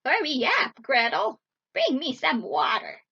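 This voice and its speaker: Loud, rasping, mean old witch. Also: a little high, big pitch swings, slow pace, lo-fi sound, full character voice. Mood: harsh, menacing. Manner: barking commands, falsely sweet when luring. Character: mean old witch who eats children, impatient and cruel.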